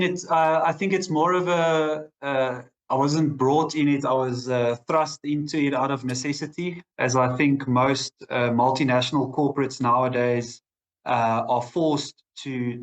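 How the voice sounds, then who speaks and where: A man answering interview questions as a data executive, speaking in a measured, thoughtful way with occasional fillers. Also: medium pitch. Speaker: guest, head of data.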